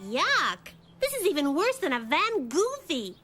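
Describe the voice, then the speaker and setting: A quick-witted little girl in a cartoon. Her voice is very high and lively, plucky and sure of herself, over loud background music. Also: heavily acted.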